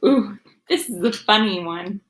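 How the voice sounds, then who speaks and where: A woman's voice: medium pitch, a little slow, slight background noise. A woman teacher reading aloud to young children, explaining as she goes in an instructive way and sounding out words. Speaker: elementary school teacher.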